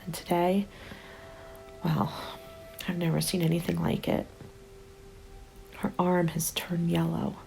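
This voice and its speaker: A woman playing a haunted, determined zombie apocalypse survivor in an ASMR roleplay, speaking in a soft, breathy half-whisper with an intimate, deliberate delivery. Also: on the low side, flat delivery, heavily acted, low-quality recording. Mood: grieving, bleak. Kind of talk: ASMR roleplay, dramatic monologue. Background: background music.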